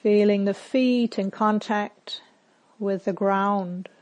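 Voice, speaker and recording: Woman, meditation teacher, very slow pace, medium pitch, measured phrases, long pauses. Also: steady tone, a little mellow. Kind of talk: guided meditation.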